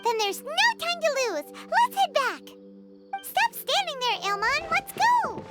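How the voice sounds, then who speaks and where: Tiny fairy girl, very high squeaky voice, excitable, exclamatory, childlike, game companion, background music. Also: slow, exaggerated voice. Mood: eager, worried. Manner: exclamatory, animated, blurting. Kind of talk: video game character acting.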